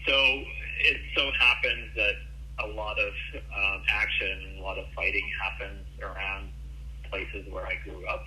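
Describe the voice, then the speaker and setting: A man in his forties from Ukraine, a guest on a radio interview, who talks haltingly with many ums and restarts. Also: on the low side, level tone, bright, forceful tone, slight background noise, poor audio.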